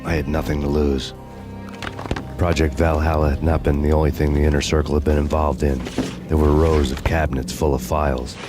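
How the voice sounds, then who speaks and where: World-weary American noir avenger for game narration. Extremely low, monotone, wry, over music. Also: male voice, brisk. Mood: grim, brooding. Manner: deadpan, sardonic. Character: vengeful world-weary hard-boiled male detective, bitterly wry.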